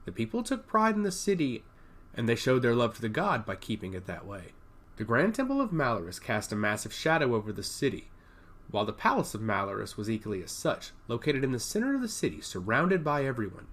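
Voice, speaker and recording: Animated male fantasy narrator. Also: medium pitch, a little fast. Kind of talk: scripted fantasy audiobook narration.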